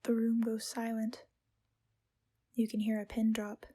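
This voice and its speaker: Woman acting a weary, husky, even-toned narrator. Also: on the high side, measured pace. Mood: weary, resigned. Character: weary, unheeded mediator narrating a couple's quarrel. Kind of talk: audio drama narration.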